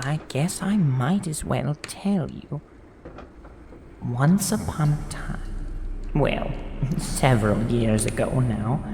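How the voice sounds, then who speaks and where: A woman playing a kindly elderly grandmother in an audio drama, telling stories in a gentle, unhurried way. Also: low voice, creaky, full character voice. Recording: echoey reverberant room with noise.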